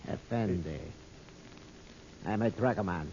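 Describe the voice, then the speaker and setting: Solemn, mellow-voiced guide. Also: male voice, fairly low pitch, measured pace. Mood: grave, mysterious. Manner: formal, grave, declamatory phrasing. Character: proud, solemn Egyptian male guide, loyal servant of a princess. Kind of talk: old-time radio adventure serial acting. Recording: muffled old radio recording, noisy.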